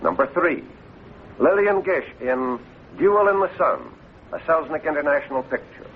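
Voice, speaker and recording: A man's voice: on the high side, animated, normal speed. A man formally announcing award nominations in a 1940s announcing style. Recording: tinny old radio broadcast, faint noise.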